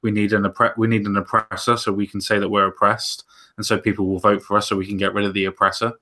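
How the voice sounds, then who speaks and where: Bright-toned opinionated man. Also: on the low side, flat delivery. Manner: opinionated, quick and emphatic. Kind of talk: casual podcast discussion.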